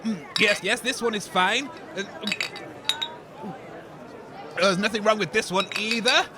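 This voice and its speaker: A man's voice: high-pitched, takes it slowly. A man playing a cheeky, boozy radio sidekick in a comedy, brash, bluffing and mocking. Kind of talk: comedy sketch acting. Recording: outdoor crowd noise.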